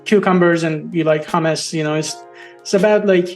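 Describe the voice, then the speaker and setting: A man whose first language is Farsi, hosting an interview and speaking in an earnest, measured way. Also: a little high, fairly even intonation, soft background music. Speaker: host. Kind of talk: interview conversation.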